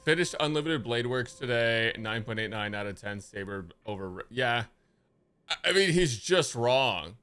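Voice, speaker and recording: A man's voice: medium pitch, animated, normal speed, bright, forceful tone, clear, resonant tone. A young man live streaming his reaction to a game, chatting casually in slangy, emphatic language.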